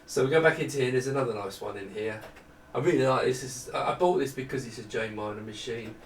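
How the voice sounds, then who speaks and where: A man's voice: medium pitch, quick pace, a little hiss or hum. A middle-aged man giving a casual, rambling, self-deprecating hardware demonstration, chatting off the cuff. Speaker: presenter demonstrating retro computer hardware. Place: small indoor room at a desk. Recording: roomy, distant camera microphone.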